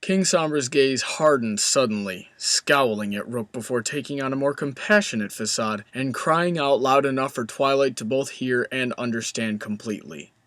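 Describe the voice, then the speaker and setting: A man narrates a scripted story in a mid-pitched voice. The recording is dry and close, with no room sound around him. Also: normal speed, hardly any pauses, a bit bright, no echo.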